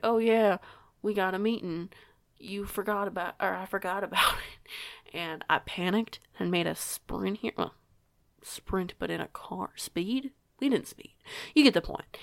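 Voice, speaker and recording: Woman as young female werewolf, low creaky voice, hesitant, rambling, self-conscious, casual audio roleplay. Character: eager, awkward young female werewolf pack newcomer.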